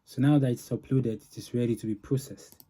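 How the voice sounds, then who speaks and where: A man giving an informal software demo as a developer, narrating in halting phrases with pauses. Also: medium pitch, steady tone, breathy edge. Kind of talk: informal product demo walkthrough.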